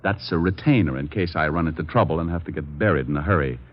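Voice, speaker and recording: A man's voice: a bass voice, fast talker. A man playing a flippant, wisecracking private detective in a 1940s radio drama. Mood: brisk, wry. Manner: wry, flippant, playful. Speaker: lead actor and narrator. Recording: muffled old radio broadcast.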